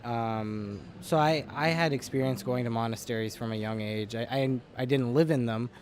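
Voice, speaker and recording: A man's voice: mid-range voice, normal speed, a little mellow. A man from Nepal, a film co-producer, answering interview questions in a casual, fluent way with frequent fillers. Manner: casual, fluent, with "um" and "you know".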